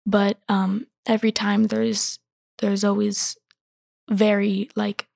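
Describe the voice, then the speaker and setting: A thirteen-year-old girl answering interview questions thoughtfully, pausing with many fillers. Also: medium pitch, level tone, vocal fry, darkish tone, no room sound, clean studio sound. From born in Ecuador, raised in the United States. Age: teens. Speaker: guest.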